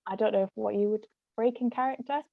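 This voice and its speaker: A woman's voice: medium pitch, quick pace, vocal fry, dry recording, phone-quality sound. A woman, a guest author and actress, explaining terms in casual conversation.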